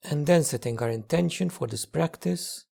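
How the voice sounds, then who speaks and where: A man leading a guided meditation as a teacher, speaking gently in short phrases with long pauses. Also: on the high side, gravelly. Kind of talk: guided meditation, slow spoken instruction.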